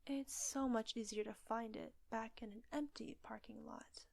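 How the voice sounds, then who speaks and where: A woman acting a seductive, controlling witch in an intimate roleplay audio drama, with breathy, teasing, commanding delivery. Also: a little high, fairly even intonation, moderate pace, a little mellow, dry recording. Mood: smug, controlling.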